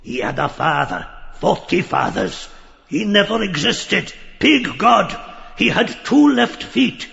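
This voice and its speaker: Casting: a grotesque diabolic ranter, high-pitched, wildly swooping, theatrical, erratic, for a surreal audiobook drama. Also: male voice, very animated, slow pace, creaky, fairly bright tone, lo-fi sound, heavily acted. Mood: sly, lecturing. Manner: theatrical, erratic, howling and yelping. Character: diabolic grotesque creature, manic ranting heretic. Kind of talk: surreal audiobook stage play.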